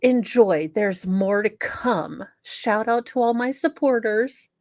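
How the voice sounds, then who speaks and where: A woman's voice: fairly high pitch, animated, slow, dry recording, clean studio sound. A woman hosting a comedy show, mixing theatrical, sarcastic dramatic reading with casual commentary. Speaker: show host.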